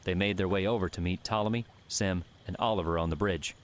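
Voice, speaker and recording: A man narrating a fiction audiobook with measured, scripted storytelling. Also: low voice, steady tone.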